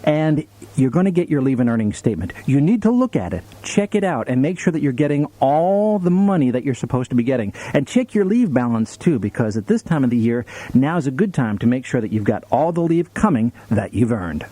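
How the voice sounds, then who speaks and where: A man's voice: mid-range voice, very animated, fairly quick, dry recording. An American man hosting a military radio request show with upbeat music-radio patter over a music bed. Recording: loud music bed under voice. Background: loud music.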